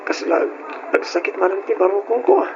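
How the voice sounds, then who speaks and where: An actor playing a frail, eager schoolboy son with a weak heart in an Ilocano-accented radio drama, speaking in a plaintive, labored way. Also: male voice, sits high, very animated, brisk. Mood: weary, musing. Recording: muffled radio recording with faint noise.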